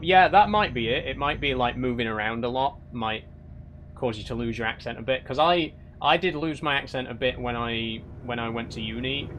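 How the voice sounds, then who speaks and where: A man's voice: mid-range voice, a bit bright. Young yorkshire guy streaming, chatty and a bit wry, some faint game noise under it. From Yorkshire, England. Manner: chatty, with frequent restarts and light wry teasing. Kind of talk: casual live-stream chat reading viewer comments.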